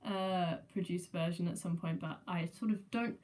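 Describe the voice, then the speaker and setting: A young woman with a mixed southern English and New Zealand accent chatting casually on a live stream, halting with many ums and restarts, recorded without a proper microphone. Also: lowish voice, fairly even intonation, darkish tone. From England, raised in New Zealand. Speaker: musician streamer.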